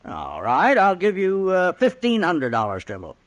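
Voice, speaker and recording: A man playing a smooth, scheming American businessman villain in an old-time radio western, smug and falsely courteous. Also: high-pitched, wildly swooping pitch, moderate pace, no echo. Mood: confident, pushy.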